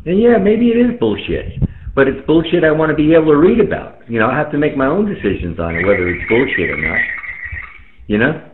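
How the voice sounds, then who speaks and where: A man's voice: on the high side, very animated, brisk, background noise. A man giving a casual, opinionated monologue over the radio, colloquial and emphatic, often repeating himself. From United States. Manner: colloquial, emphatic, repetitive, mildly profane. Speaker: amateur radio operator chatting with friends. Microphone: radio microphone over internet link. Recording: tinny phone-like radio link, roomy echo.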